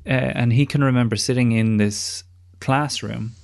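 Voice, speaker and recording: A man's voice: medium pitch, steady tone, moderate pace, vocal fry, darkish tone. A man hosting a podcast interview in a wry, discursive, conversational way, with some casual swearing. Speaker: podcast host.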